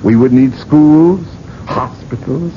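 An actor playing a blunt, candid physician in a radio drama, speaking in a measured, dry way. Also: male voice, on the high side. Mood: frank, measured. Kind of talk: 1980s radio drama acting. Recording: muffled, hissy vintage radio broadcast.

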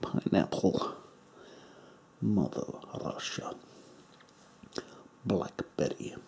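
A man voicing an eccentric, crude foreign male doctor in a deadpan whisper. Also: low voice, vocal fry, slight background noise, heavily acted. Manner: whispery, slow, deadpan joking. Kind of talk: comedic ASMR roleplay.

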